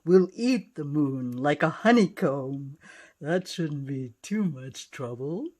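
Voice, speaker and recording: A woman's voice: low-pitched, lively intonation, slow pace, darkish tone, voice pushed down, exaggerated voice. A woman voicing a greedy, grumbling, plaintive bear in a picture book. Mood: greedy, chuckling. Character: storybook bears, greedy, grumbling, whimpering, plaintive.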